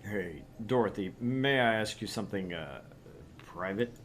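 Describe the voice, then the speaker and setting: Man playing a polite, reserved gentleman, noisy recording. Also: lowish voice, expressive, unhurried. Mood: courteous, reserved. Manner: courteous, hesitant, formal.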